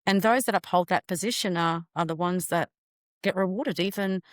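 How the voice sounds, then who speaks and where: Low-voiced woman professor, thoughtful. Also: normal speed, no room sound, studio quality. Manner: thoughtful, hesitant with frequent ums. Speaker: professor, interview guest. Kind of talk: reflective conversation.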